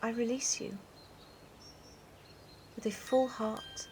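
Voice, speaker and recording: A young actress playing a young woman gently releasing her former fiancé with measured, sorrowful words. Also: a little high, steady tone, a little hiss or hum. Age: young adult.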